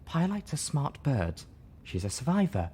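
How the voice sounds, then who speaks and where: Soft, sorrowful female pony with a caring streak, for a fantasy tale; male reader. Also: mid-range voice, sing-song, moderate pace, a little hiss or hum, voice pushed up, natural voice. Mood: sorrowful, clinging hope. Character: refined female pony, sorrowful, caring, sharp when hurt.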